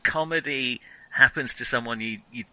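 A man's voice: medium pitch, moderate pace, pushed and bright, no room sound, poor audio. A man co-hosting a film podcast, giving opinionated, analytical and wry views in casual discussion.

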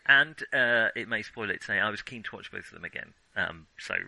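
A man's voice: on the low side, animated, a little fast, vocal fry, pushed and bright, no room sound, low-quality recording. A man co-hosting a film podcast, chatting casually and conversationally, often acting out imagined reactions as he explains. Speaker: film discussion podcast co-host.

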